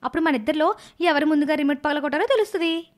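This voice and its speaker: A woman voicing a silly, stubborn young niece, girlish and quarrelsome, in an animated moral story. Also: high voice, racing, close to an everyday voice. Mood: petulant, angry.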